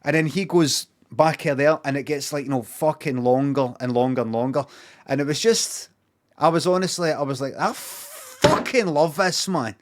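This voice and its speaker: A man's voice: on the high side, normal speed. A Glaswegian Scottish man chatting casually on a livestream, rambling, swearing freely and repeating himself for emphasis.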